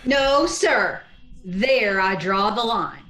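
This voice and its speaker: A woman's voice: medium pitch, lively intonation, slow pace, fairly bright tone, faint music behind. A woman playing a wry, wisecracking schoolteacher in an old-time radio comedy, with a dry manner. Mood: wry, defiant. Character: wry, sardonic female schoolteacher who narrates her own troubles. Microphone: video call. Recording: echoey room over video call.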